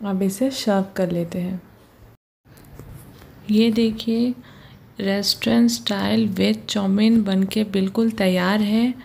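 A woman's voice: mid-range voice, steady tone, gravelly, a little mellow, slightly rough. A woman hosting a home cooking channel, patiently narrating each step of a recipe and often pointing things out to the viewer. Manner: slow, patient, repeats "ye dekhiye". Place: home kitchen.

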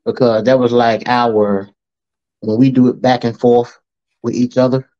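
A man's voice: mid-range voice, a little slow. A middle-aged man, a guest talking easily over a video call from home, with many fillers. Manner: relaxed, easygoing, many fillers. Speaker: guest, old friend. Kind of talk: casual video call chat. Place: home room, indoors. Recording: slightly muffled video call audio.

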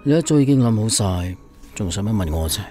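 A man's voice: lowish voice, animated, brisk, dark tone, faint music behind, natural voice. Young inventor dad played by a male actor, idealistic, wounded pride, very varied intonation, radio drama. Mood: resentful. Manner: indignant, self-pitying. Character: idealistic, frustrated inventor husband and father.

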